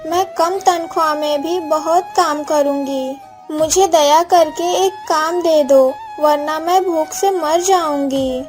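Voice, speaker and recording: A woman plays a wronged orphan girl from a village cartoon, high in pitch and unhurried. She speaks with earnest drama and a proud heart, with music playing underneath. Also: a little mellow. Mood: tearful pleading. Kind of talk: Hindi moral-story cartoon dubbing.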